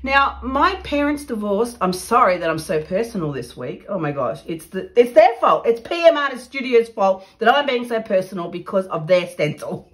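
A woman's voice: medium pitch, sing-song, moderate pace. Australian woman, artist host, casual and effusive, home-studio demo. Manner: chatty, laughing, exclaims over her work. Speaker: mixed media artist. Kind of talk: casual craft demo chat. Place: home art studio, indoors.

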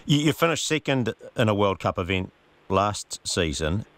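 A man's voice: on the low side, very animated, moderate pace, a bit bright, no echo. A man interviewing as a radio sports editor, asking questions with small stumbles and restarts. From New Zealand.

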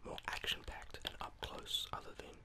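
A young man giving rambling, casual, self-deprecating ASMR gameplay commentary in a breathy whisper, close to the microphone. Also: moderate pace. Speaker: gaming video host. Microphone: close microphone, voice-over on gameplay.

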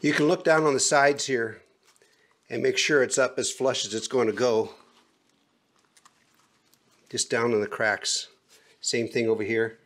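A man's voice: medium pitch, steady tone, unhurried, pauses often, a bit bright. A middle-aged man giving casual, folksy how-to narration while doing a DIY job, recorded on a camera mic in an open garage and outdoors. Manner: folksy, plain-spoken instructions. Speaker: DIY vlogger.

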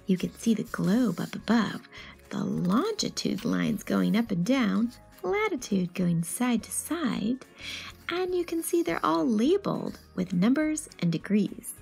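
Woman story reader, very varied intonation, husky, explanatory, faint music behind. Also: a little high, a little slow. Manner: expressive, explanatory. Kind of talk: picture book read-aloud with explanatory asides.

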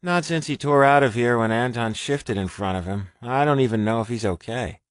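A man's voice: medium pitch, a little fast, no room sound, clean studio sound, pitched above the performer's own voice, lightly acted. A man voicing a small, anxious, educated young man in an audiobook, fretful and wordy. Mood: guilty. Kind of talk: audiobook character reading.

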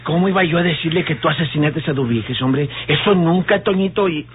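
An actor playing a cornered, nervous Mexican ranch scoundrel who pleads, flatters and stammers in old radio serial style. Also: male voice, a little high, a little fast, a little hiss or hum, lightly acted. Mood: nervous, stalling. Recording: dull vintage radio recording, lo-fi.

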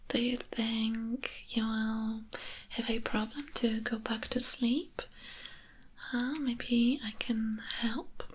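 A woman's voice: fairly high pitch, level tone, takes it slowly, slight background noise. A young woman in an intimate ASMR comfort roleplay, whispering breathily and soothingly, very close to the microphone. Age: young adult. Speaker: ASMR performer. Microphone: close-up microphone, speaking into it ear to ear.

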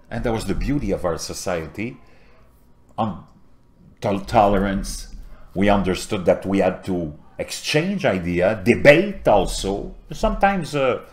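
A deep-voiced man in his fifties with a French Canadian accent, speaking English as a second language in an earnest commentary to camera. His delivery drops to low murmurs and then rises into loud, emphatic bursts. He is recorded close to a desk camera in a small home setup. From Montreal. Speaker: political video host. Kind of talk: impassioned political commentary to camera. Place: small indoor home setup with a virtual backdrop.

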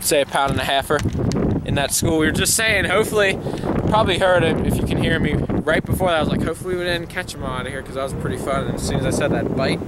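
A man's voice: on the high side, quick pace. A young man chatting casually about fishing outdoors by a pond, rambling and enthusiastic, recorded on a camera mic. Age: young adult. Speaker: fishing vlogger. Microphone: camera mic, handheld or nearby. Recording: loud wind and outdoor noise.

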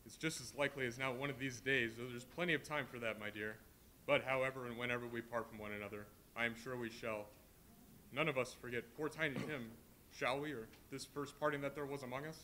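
A man plays a kind father in mourning, soft-hearted and tender. His speech runs quick and bright, with a faint rumble from the live hall. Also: fairly even intonation, close to an everyday voice. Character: grieving gentle father, Bob Cratchit. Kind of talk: live stage play reading.

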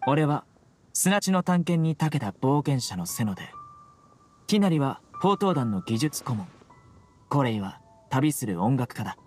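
Composed, deadpan desert adventurer. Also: male voice, mid-range voice, measured pace, faint music behind. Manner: deadpan, formal. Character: stern, composed young male desert adventurer. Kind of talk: anime video game voice acting.

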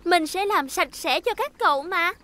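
A woman's voice: very high voice, expressive, moderate pace, heavy background noise. A woman voicing a cheerful young vehicle character in a dubbed children's cartoon, bubbly and childlike. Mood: cheerful, reassuring. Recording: music behind the voice.

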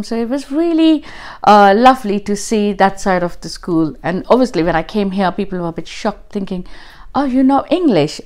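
A woman's voice: medium pitch, a little mellow. A woman in her thirties from Mumbai with an Indian accent, answering interview questions in a chatty way with many fillers, recorded in a living room. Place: living room, seated on sofa by window.

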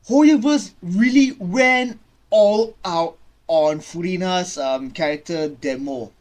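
A man in his twenties giving casual, halting reaction commentary, often repeating his phrases, recorded in a small bedroom. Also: high-pitched, a little mellow. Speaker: reaction video host. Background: game trailer music.

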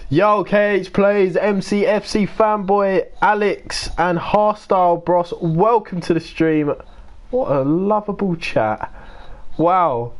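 A young man with a croaky voice, chatting casually and swearing as he livestreams a game and greets viewers by name. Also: high-pitched, slow. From United Kingdom. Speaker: streamer. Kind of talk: casual gaming livestream chat.

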